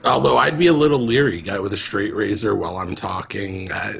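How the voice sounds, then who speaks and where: A man co-hosting a podcast with a deep, calm voice, chatting casually. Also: a little fast, creaky, breathy edge. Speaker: podcast co-host. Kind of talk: casual chat.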